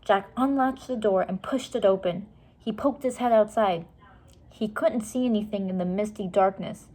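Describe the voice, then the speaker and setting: A woman's voice: medium pitch, normal speed, dark tone. A woman reading a children's storybook aloud expressively, explaining things simply and casually to young listeners.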